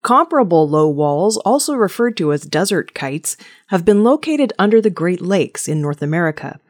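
A woman's voice: on the low side, sing-song, normal speed. A woman reading scripted news stories as a narrator. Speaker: news reader.

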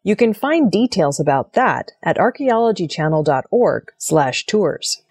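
A woman presenting scripted news in a measured, formal reading manner. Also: fairly low pitch, big pitch swings, darkish tone, soft background music.

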